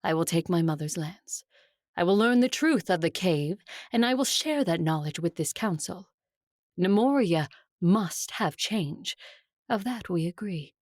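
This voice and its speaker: Ruthless, ambitious female vampire leader, audio drama. Lower register, studio-dry, measured and proudly defiant. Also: expressive, no echo. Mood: resolute. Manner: measured, proud, formal declarations.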